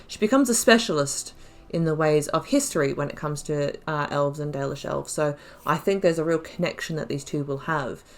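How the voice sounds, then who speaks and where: Low-pitched woman thinking aloud over a game. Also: normal speed, hardly any pauses. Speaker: gaming video host playing a role-playing game. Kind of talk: casual playthrough commentary with story analysis.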